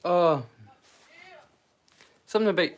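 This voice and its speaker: A man's voice: sits high, slow. A Scottish man chatting casually on a live stream as a storyteller, rambling and swearing freely.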